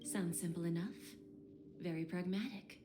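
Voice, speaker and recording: An actress playing a seductive, calculating woman pawnbroker in a video game, courteous and quietly ominous, with breathy phrase endings. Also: on the low side, fairly even intonation, normal speed, background noise, lightly acted. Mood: calm, calculating. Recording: music playing over the voice.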